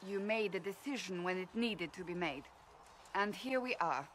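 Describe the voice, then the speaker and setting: A woman's voice: on the low side, lively intonation, normal speed, fairly bright tone, slight background noise. An actress playing a stern, fair-minded but exasperated female warrior in a video game, blunt, clipped and firm. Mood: frank, approving. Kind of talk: video game character dialogue.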